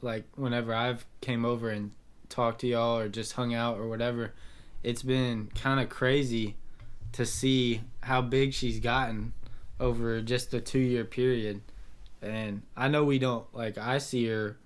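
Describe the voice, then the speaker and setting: A young man hosting a casual podcast chat, relaxed and asking his guests questions. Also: mid-range voice, measured pace, clean tone. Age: young adult. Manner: easygoing, asks questions, laughs along. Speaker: podcast host.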